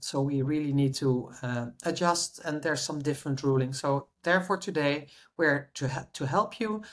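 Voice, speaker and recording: Woman, hesitant English. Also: low-pitched. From Netherlands. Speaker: relocation consultant, guest speaker. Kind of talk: self-introduction in a webinar.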